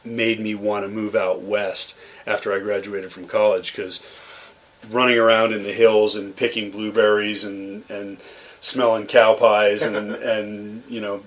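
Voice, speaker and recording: Man, young adult, from Massachusetts, low voice, rambling storyteller, podcast guest. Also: flat delivery, unhurried, a bit bright. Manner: rambling, many restarts and fillers. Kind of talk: relaxed conversational storytelling.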